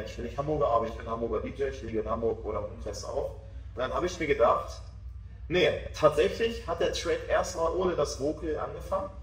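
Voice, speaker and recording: A man in his twenties, a DJ, talking about his music in a relaxed, self-assured way during a casual interview. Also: medium pitch, distant mic. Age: 20s. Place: small backstage room with sofa, indoors. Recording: echoey room, voice off-mic.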